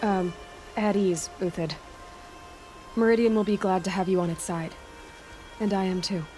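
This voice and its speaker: Actress as a direct, wry young huntress. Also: female voice, medium pitch, fairly even intonation, moderate pace, dark tone, faint music behind, lightly acted. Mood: wry, friendly. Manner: direct, dry. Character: guarded, wry, determined young female huntress. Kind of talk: video game cinematic dialogue.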